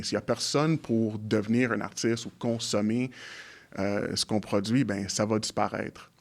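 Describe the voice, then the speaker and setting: A man whose first language is English, speaking French as a guest in a conversational interview, often restarting his sentences and slipping into English phrases. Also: lowish voice. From Montreal, Quebec. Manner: halting, restarts often while searching for words. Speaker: guest, community organization leader.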